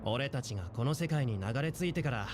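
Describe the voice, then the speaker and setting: An actor voicing a stranded warrior in an anime-style video game, frank and steady in the face of hardship. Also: male voice, medium pitch, very fast, noisy background, lightly acted. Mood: resigned. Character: stranded male warrior, resigned yet steady. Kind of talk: Japanese anime game voice acting. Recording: game playback with clear music behind.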